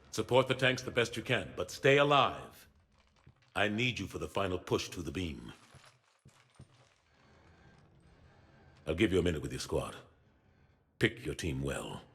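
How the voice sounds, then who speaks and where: An actor playing a determined, hard-bitten admiral in a video game, speaking with clipped military authority. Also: male voice, fairly low pitch, fairly bright tone, breathy edge, exaggerated voice. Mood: forceful, urgent, then quietly earnest. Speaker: admiral briefing troops. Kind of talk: video game cutscene acting.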